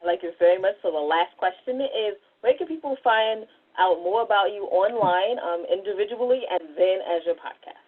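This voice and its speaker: A woman's voice: mid-range voice, normal speed, hardly any pauses, slightly rough. A woman co-host asking questions in a casual podcast interview. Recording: tinny phone-like line.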